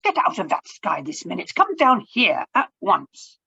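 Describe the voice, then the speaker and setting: A woman's voice: mid-range voice, huge pitch swings, unhurried, gravelly, sharp tone, slightly rough, highs cut off, heavily acted. A woman voicing the stern, disapproving grown-ups of a town in a read-aloud storybook, scolding and blustering.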